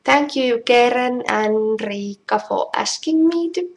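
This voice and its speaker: Creaky-voiced Finnish woman, casual and halting. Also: fairly high pitch, animated. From Finland. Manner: self-deprecating, halting English. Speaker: craft demonstrator. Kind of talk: casual live craft tutorial. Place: indoors at home. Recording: noisy live stream with clicks.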